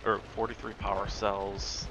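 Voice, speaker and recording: A man's voice: on the low side, level tone, unhurried, poor audio. A man giving casual live commentary as he speedruns a video game, often muttering asides to himself. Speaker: speedrunner streamer. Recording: loud game music behind voice. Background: loud video game music.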